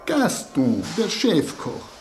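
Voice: mid-range voice, wildly swooping pitch, takes it slowly, darkish tone, soft background music. A performer playing a suave Italian risotto, teasing and familiar, in a German radio drama. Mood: knowing gossip. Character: suave Italian risotto, flirtatious bearer of kitchen gossip.

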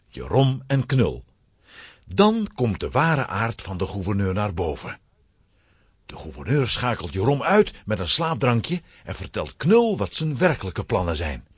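A man narrating a scripted children's story with a husky voice. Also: on the low side, no room sound, clean studio sound.

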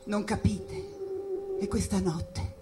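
A woman acting a solitary, haunted spirit in a theatrical radio monologue, incantatory and repeating phrases as the delivery swings between hush and cries. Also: medium pitch, sing-song, takes it slowly, noisy background. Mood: wistful, hushed. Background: live jazz percussion and music.

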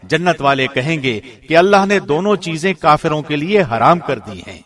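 A man reading a scripture translation aloud from a script in a formal, measured way, as a radio presenter would. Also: on the high side, expressive, fairly bright tone. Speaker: translation reader, radio host.

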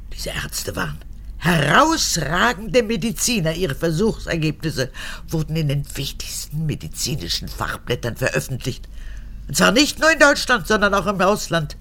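An actress in a radio drama playing a cold, proud old mother and unrepentant former prison guard, haughty and emphatic, with halting phrases. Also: low-pitched, wildly swooping pitch, a bit bright, husky, exaggerated voice. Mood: scornful, agitated. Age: older. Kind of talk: German radio drama acting.

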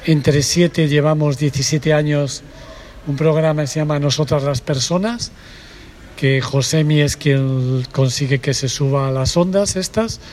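A woman's voice: low voice, darkish tone, slight background noise. A woman from the Basque Country, a community radio presenter, introducing herself to a group in a chatty, hesitant way. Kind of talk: casual self-introduction to a group.

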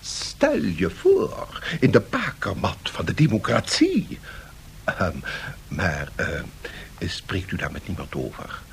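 A low-voiced, swooping, evasive bureaucrat. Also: male voice, wildly swooping pitch, gravelly, a little hiss or hum, full character voice. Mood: patronising, discreet. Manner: measured, officious, placating. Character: evasive, patronising male League of Nations bureaucrat. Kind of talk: satirical radio drama acting.

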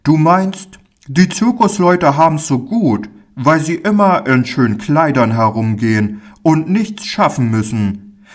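A man's voice: medium pitch, pitched above the performer's own voice. A man voicing a kindly, fatherly village man in a storybook, speaking in a soothing, earnest, folksy way.

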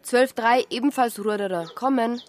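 A woman's voice: a little high, normal speed, a little hiss or hum, lightly acted. An actress playing an alert patrol police officer in a radio drama, speaking as a woman on duty. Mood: official.

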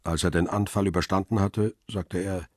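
An actor playing a cool, hard-boiled first-person narrator in a radio crime drama, with a deep husky voice and terse, matter-of-fact delivery. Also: male voice, fairly even intonation, normal speed, no echo, studio quality.

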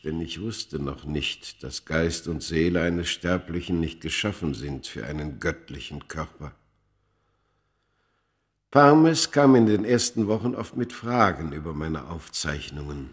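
A man playing a proud ancient Egyptian who narrates his own story in a radio play, solemn, measured and dramatic. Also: extremely low pitch, lively intonation, heavily acted. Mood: solemn, haunted. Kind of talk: literary first-person narration in a radio play.

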